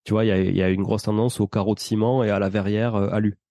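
A man's voice: lowish voice, flat delivery, quick pace, warm tone, no room sound, clean studio sound. A man speaking as a podcast guest in a casual interview, explaining his investing experience in an informal, slangy way. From Portuguese family background.

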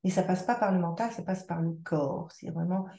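Podcast guest: woman, low mellow voice, reflective, restarts often, roomy echo. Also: a little fast, vocal fry. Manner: reflective, many restarts and repetitions. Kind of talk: conversational interview.

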